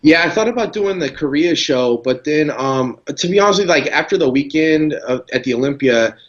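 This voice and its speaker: A man being interviewed as a guest, chatting casually and relaxed with frequent "you know" fillers. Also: fairly high pitch, brisk, muffled recording. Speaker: guest pro bodybuilder.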